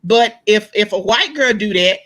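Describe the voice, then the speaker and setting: A woman with a loud speaking voice, talking animatedly in a casual group discussion, acting out the exchanges she retells. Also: medium pitch, moderate pace. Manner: animated storyteller, acts out quoted exchanges.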